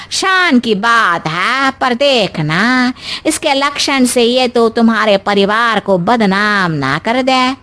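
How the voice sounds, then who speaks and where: A woman's voice: high voice, pushed and bright, heavily acted. A woman playing a scolding, complaining Indian village mother-in-law in a cartoon story, with a nagging, exclamatory delivery. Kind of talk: Hindi animated moral story acting.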